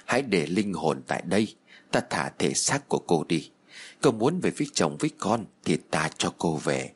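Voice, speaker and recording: A man's voice: sits low, very animated, moderate pace, husky, voice pushed down, full character voice. A man voicing a vengeful female ghost, resentful, gloating and menacing. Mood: gloating menace.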